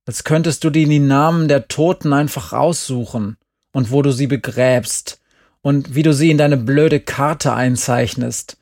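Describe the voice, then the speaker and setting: A man voicing a frantic, bossy teenage boy who shouts and swears in an audiobook. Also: medium pitch, unhurried, no room sound, studio quality, pitched above the performer's own voice, close to an everyday voice. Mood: urgent.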